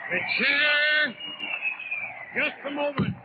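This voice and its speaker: A man chairing a 1930s political convention, making formal, declamatory announcements in short deliberate phrases on a vintage radio recording. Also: very high voice, very noisy. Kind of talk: formal parliamentary announcement from the podium. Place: large convention hall. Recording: thin, tinny 1930s radio broadcast recording.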